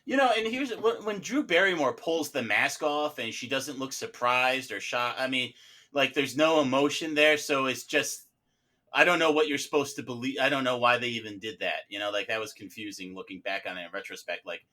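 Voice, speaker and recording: A man's voice: medium pitch, brisk, bright, forceful tone. A man chatting casually as a juror on a comedy mock-trial podcast. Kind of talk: casual panel chat.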